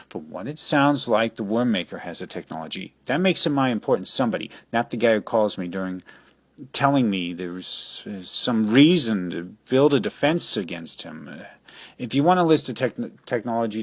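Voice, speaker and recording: Man acting brusque rich businessman, lowish pitch, sarcastic, dismissive, radio play, dull lo-fi sound. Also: slightly rough, no echo. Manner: curt, sarcastic, lecturing.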